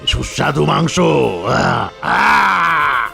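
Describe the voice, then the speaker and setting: A man's voice: fairly high pitch, sing-song, bright, forceful tone, faint music behind, heavily acted. A man voicing a menacing, hungry tiger in a children's cartoon, growling and savouring each word. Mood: hungry, gloating. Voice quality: growling, gravelly. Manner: slow, savouring, predatory.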